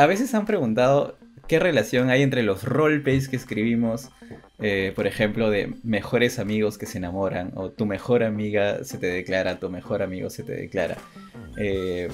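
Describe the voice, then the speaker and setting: Hesitant young man chatting over music. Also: fairly low pitch. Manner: hesitant, rambling, repeats phrases. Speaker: co-host of a video podcast. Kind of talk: casual chat. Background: background music.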